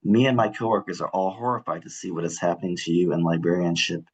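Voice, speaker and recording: A man moderating a webinar, reading audience questions aloud in a plain, even way with small "uh" fillers. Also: sits low, fast talker, husky.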